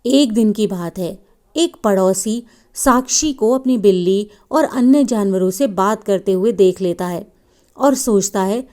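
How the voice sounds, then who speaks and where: A woman's voice: mid-range voice, unhurried, dark tone. A woman narrating a scripted children's story as a storyteller.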